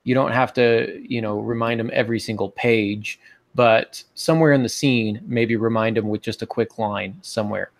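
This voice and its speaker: Creaky-voiced American man talking casually. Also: mid-range voice, fairly even intonation, moderate pace. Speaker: podcast host. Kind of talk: casual instructional podcast talk. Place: small home office, indoors. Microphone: earbud headset on video call.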